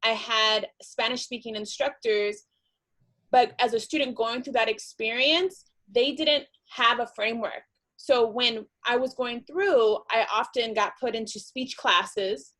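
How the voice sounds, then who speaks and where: A woman from East Los Angeles, speaking a little high for a woman, gives sincere, reflective remarks as a webinar panelist. She sounds thoughtful and measured. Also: fairly bright tone. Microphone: video call.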